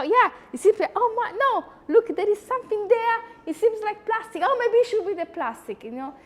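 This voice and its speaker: A woman with an Italian accent, hosting a talk with chatty, animated asides. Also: high voice, long reverb tail, a little hiss or hum. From Italy. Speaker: host. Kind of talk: lecture-style talk.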